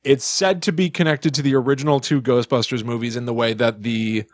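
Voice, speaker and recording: A man's voice: mid-range voice, fairly even intonation, brisk, no echo. A man co-hosting a podcast in casual chat, thinking aloud as he talks. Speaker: podcast co-host. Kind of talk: casual chat about movies and the paranormal.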